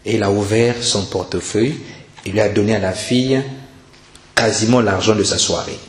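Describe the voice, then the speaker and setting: A man's voice: on the low side, moderate pace. Preaching man in a roomy, echoing space. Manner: animated storytelling with repetition for emphasis. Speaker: pastor preaching. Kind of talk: Sunday church sermon, storytelling exhortation and call to prayer. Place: indoor church service. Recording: roomy church sound with music.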